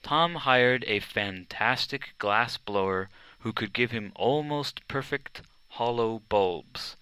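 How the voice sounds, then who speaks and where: Guy reading a kids biography audiobook, takes his time, bright lowish voice, really dry close sound. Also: lively intonation, slow, smooth, dry recording, rough recording. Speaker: audiobook narrator. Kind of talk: scripted narration of a children's biography.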